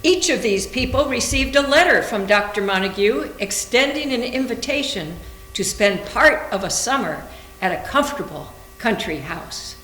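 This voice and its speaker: A woman's voice: on the high side, animated, normal speed, a bit bright. A woman reading scripted literary narration aloud for a readers theater. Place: indoor room, live library reading. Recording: echoey room with faint hum.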